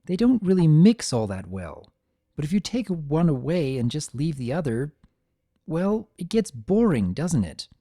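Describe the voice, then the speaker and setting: A performer voicing an older mare pony, a patient mother who explains things calmly and sensibly in a storybook reading. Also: medium pitch, unhurried, dry recording, studio quality, pitched above the performer's own voice. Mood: sensible. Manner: calm, sensible, gently teaching.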